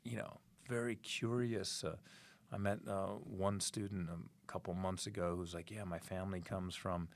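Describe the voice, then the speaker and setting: A man's voice: low voice, fairly even intonation, moderate pace, no echo. A middle-aged man answering interview questions as a proud parent, rambling with many fillers and restarts.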